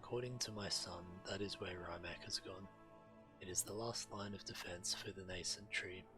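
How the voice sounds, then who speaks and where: A solemn male dragon commander as acted by a man in a fantasy video game. He speaks very low, earnest and measured but urgent, rallying allies over a clear musical score. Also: fairly even intonation, music in the background, natural voice. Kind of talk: dramatic video game dialogue.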